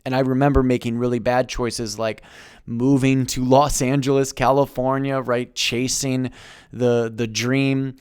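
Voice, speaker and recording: Man in his thirties, vlogger, halting delivery full of ums and restarts, smooth clear voice, dry close sound. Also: medium pitch, flat delivery, darkish tone, dry recording. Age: 30s. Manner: halting, many fillers and restarts. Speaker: YouTuber. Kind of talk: personal vlog monologue.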